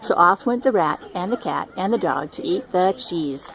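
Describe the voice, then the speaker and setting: An older woman with a creaky voice reading a children's storybook aloud. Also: fairly low pitch, animated, normal speed, fairly bright tone, slight background noise. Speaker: storybook reader, grandmother. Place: outdoors at a scenic lake.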